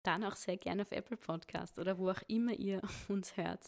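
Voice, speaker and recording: A woman co-hosting a casual parenting podcast as a mother, chatting in a lively, quick way. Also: mid-range voice, vocal fry.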